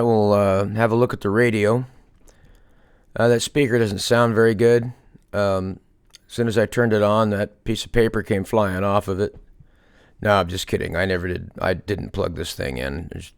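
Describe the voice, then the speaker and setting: A man with a fairly low voice and a crackly, fry-laden edge talks through a project as a hobbyist. His delivery is unscripted and casual, a little hesitant as he works things out aloud. The sound is dry, with very little room in it. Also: fairly even intonation, moderate pace, no room sound. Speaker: hobbyist restorer presenting a project. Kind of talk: casual unscripted show-and-tell narration.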